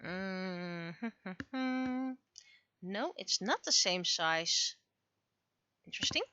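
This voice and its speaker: A woman with a bright, slightly high voice chats casually on a livestream. She thinks out loud, asking herself questions and muttering as she works. The sound is dry and close but a little dull and lo-fi. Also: animated, very slow, smooth, dry recording. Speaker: livestreamer, webcomic and visual novel creator. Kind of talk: casual livestream chat, thinking aloud while working.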